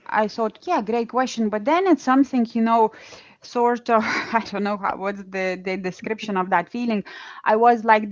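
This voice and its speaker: A woman's voice: on the high side, no room sound, muffled recording. Chatty woman host, Eastern European accent. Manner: chatty, many restarts and fillers. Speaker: podcast host. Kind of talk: casual conversation.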